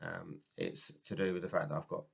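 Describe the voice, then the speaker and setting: A man's voice: sits low, steady tone, a little fast, vocal fry, slightly rough. A man from the UK with a cold and a sore throat, giving a casual, rambling talk to camera as a business coach. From United Kingdom. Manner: rambling, many ums and restarts. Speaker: network marketing business coach.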